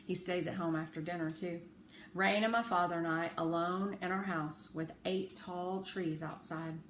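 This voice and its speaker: A woman reads a children's story aloud with a bright, measured delivery. Her phrasing is clear, and the room adds an echo over faint background noise. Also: fairly low pitch, steady tone. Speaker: read-aloud narrator. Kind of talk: children's audiobook-style storytelling.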